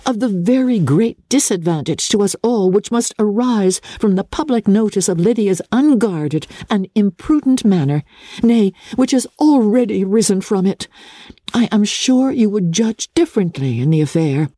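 A woman performs a sensible young gentlewoman in a literary reading. She pleads formally and earnestly, with a warm, mellow tone in a dry recording. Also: medium pitch, lively intonation, moderate pace, no room sound, pitched above the performer's own voice, natural voice. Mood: earnest, urgent.

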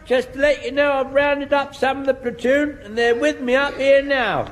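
An actor playing an excitable, officious elderly corporal in a radio sitcom, eager and combative. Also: male voice, very high voice, normal speed. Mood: eager, deferential. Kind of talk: British radio sitcom acting.

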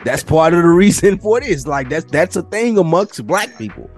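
A man's voice: a little high, lively intonation, moderate pace, a little mellow, soft background music. A man co-hosting a podcast in casual conversation, slangy and profane, giving his opinions freely. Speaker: podcast co-host.

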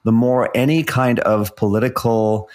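A man's voice: medium pitch, fairly even intonation, unhurried, darkish tone. A middle-aged man from South Africa co-hosting a podcast, giving articulate, wordy commentary with a wry edge. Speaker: podcast co-host. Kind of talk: podcast commentary, part scripted.

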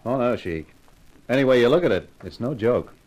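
A man's voice: medium pitch, animated, fairly quick, a little mellow, lo-fi sound, faint music behind, lightly acted. A man playing a breezy, irreverent, hard-drinking detective, acting and narrating in a colloquial way in a 1950s radio mystery. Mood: wry banter. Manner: wry, colloquial, hesitant asides.

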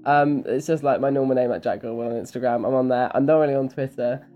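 A man's voice: mid-range voice, fast talker, highs cut off, soft background music. A man chatting casually as an interview guest, rambling and self-deprecating, with a giggly manner. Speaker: interviewed voice actor.

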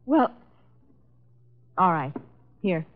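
A woman's voice: on the low side, big pitch swings, drawn-out words, exaggerated voice. An actress playing a defensive, evasive young woman under questioning in 1950s radio drama, hesitant and emotional. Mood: guarded. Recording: dull, lo-fi 1950s radio broadcast.

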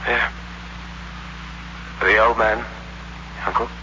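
Desperate young robber, muffled noisy radio. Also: male voice, lowish voice, level tone, slow, pushed and bright, full character voice. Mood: weak, shaken. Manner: menacing, tense, dramatic narration. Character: desperate young male ex-convict robber, narrating his crime. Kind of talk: 1960s radio suspense acting.

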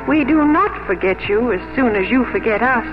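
A woman's voice: on the high side, lively intonation, moderate pace, narrow, tinny audio, close to an everyday voice. Casting: female actor for tender, proper romantic heroine, earnest and restrained, old-time radio sound over music. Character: gentle, earnest, reserved English gentlewoman in love. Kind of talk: 1950s radio romantic drama acting.

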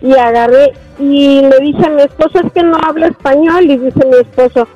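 A woman's voice: high-pitched, steady tone, moderate pace, faint music behind. A woman calling in to a radio show, telling a story with small hesitations. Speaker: caller. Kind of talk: phone-in story. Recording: dull phone line on radio.

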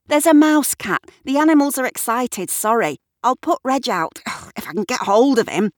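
A woman's voice: fairly high pitch, expressive, moderate pace, no room sound, clean studio sound, voice pushed up, lightly acted. A woman voicing an apologetic, defensive man in a comic audiobook story. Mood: flustered, apologetic. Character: apologetic, cheeky, defensive man in a household farce.

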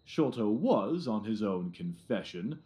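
A blustering, self-satisfied police detective in an audio drama. He speaks slowly in a low voice that rises and falls widely. He is condescending and repeats himself for emphasis. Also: very animated, mellow. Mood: self-important musing.

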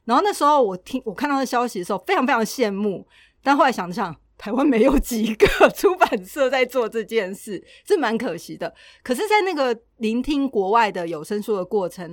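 A woman's voice: a little high, expressive, a little fast, dry recording, studio quality. A woman with a Taiwanese Mandarin accent chatting casually and expressively as a podcast host.

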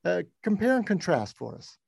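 A man's voice: high-pitched, sing-song, normal speed, gravelly, darkish tone, slightly rough, no room sound, clean studio sound. A man hosting an interview, asking questions in a conversational style. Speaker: interview host.

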